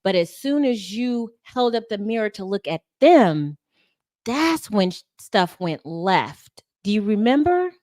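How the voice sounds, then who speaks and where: A woman's voice: medium pitch, a little slow, no echo, clean studio sound. Blunt woman host cutting in. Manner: blunt, animated, cuts in to push back. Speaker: livestream host. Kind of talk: livestream conversation.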